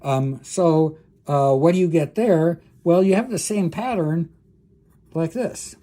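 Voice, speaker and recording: A man's voice: on the high side, takes it slowly, mellow. A man lecturing as a professor, explaining technical material informally, thinking aloud with frequent hesitations and self-corrections and speaking directly to the listener.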